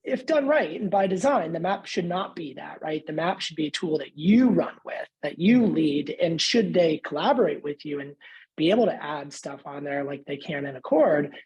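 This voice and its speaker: A woman's voice: sits low, lively intonation, normal speed, darkish tone, husky, dull audio. A woman speaking informally as a sales expert in a panel discussion, often checking for agreement. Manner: informal, seeks agreement with frequent tags.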